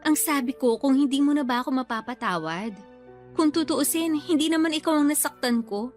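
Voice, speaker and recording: An actress playing a young mother in a radio drama, placating and measured but quietly stubborn. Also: sits high, faint music behind, lightly acted. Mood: pleading, regretful. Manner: pleading, measured, quietly defiant. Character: young mother, brother's returned girlfriend, placating yet stubborn. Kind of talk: Tagalog radio drama acting.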